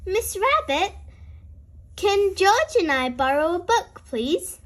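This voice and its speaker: An eager little girl pig from a children's storybook, played by a woman. Her voice sits very high and swings up and down as she exclaims and pleads. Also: sing-song, slow pace, full character voice. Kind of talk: children's storybook read-aloud.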